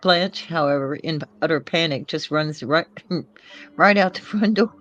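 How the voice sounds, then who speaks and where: A woman's voice: sits low, unhurried, a bit bright, lo-fi sound. A woman narrating screenplay action in a loose, informal way, sometimes stumbling over the script. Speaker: co-reader of screenplay.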